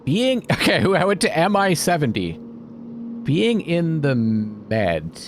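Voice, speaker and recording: A man's voice: on the high side, big pitch swings, takes it slowly, a little hiss or hum. A man hosting a let's play, expressively thinking aloud and reading game text. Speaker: gaming video host. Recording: game music behind voice.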